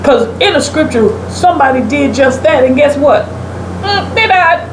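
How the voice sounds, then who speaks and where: A woman with a fairly high voice speaks like an emphatic preacher giving her opinion. She is casual but pointed, leaning on rhetorical questions. The room echoes and there is clear background noise. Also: lively intonation, moderate pace. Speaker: talk show host giving opinions. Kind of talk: opinionated commentary in a casual conversation. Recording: roomy echo, clear air-conditioning-like background noise.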